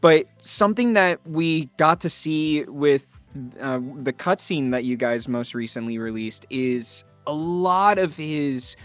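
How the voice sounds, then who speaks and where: A man's voice: on the high side, animated, takes it slowly, a bit bright, smooth, no echo, soft background music. A man giving thoughtful, earnest interview answers in a conversational way, stressing his key words. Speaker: voice actor being interviewed.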